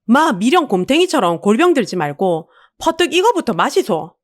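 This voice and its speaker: Burly, blunt market man with a soft heart played by a woman narrator, scolding Busan dialect, animated delivery, radio drama. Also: fairly high pitch, moderate pace, pitched above the performer's own voice. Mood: gruff concern. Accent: Busan Gyeongsang dialect. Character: gruff, burly, secretly caring male pancake vendor.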